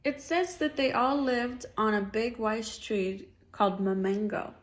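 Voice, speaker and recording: A woman's voice: fairly high pitch, unhurried. A woman reading a picture book aloud to a child, encouraging and often echoing answers back as questions. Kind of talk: dialogic storybook reading with questions.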